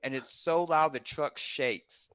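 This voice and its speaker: A man co-hosting a podcast, telling a personal ghost story in an animated way. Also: fairly high pitch, slow, clear, resonant tone, no echo. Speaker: podcast co-host. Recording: slightly dull lo-fi sound.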